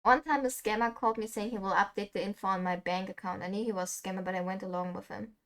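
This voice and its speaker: A woman's voice: mid-range voice, steady tone, fast talker, fairly bright tone. A young woman from Germany, chatting casually and laughing often while streaming a game.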